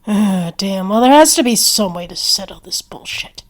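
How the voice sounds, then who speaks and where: A woman's voice: medium pitch, animated, moderate pace. A woman performing an acted ASMR roleplay.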